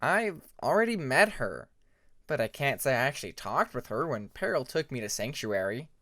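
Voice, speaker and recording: A man's voice: medium pitch, very animated, normal speed, bright, forceful tone, no room sound, studio quality. A man voicing a friendly young male dragon prince in a storybook reading, casual and amiable. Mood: agreeable.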